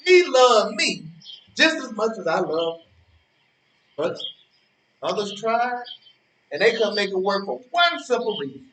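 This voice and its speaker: A man playing a smug romantic rival in a stage drama, high-voiced and swinging widely in pitch. He needles and boasts in colloquial talk, hammering phrases by repeating them. The sound is echoey, as in a bare room. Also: big pitch swings, a little slow, pauses often, a bit bright, a little hiss or hum, exaggerated voice. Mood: wistful, boastful. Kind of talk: stage play acting. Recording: roomy, echoey, distant from microphone.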